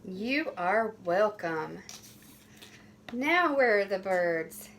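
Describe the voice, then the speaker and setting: A woman's voice: medium pitch, lively intonation, extremely slow, a little hiss or hum. A woman voicing a cheerful, matter-of-fact storybook pig with simple, emphatic delivery. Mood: cheerful, helpful.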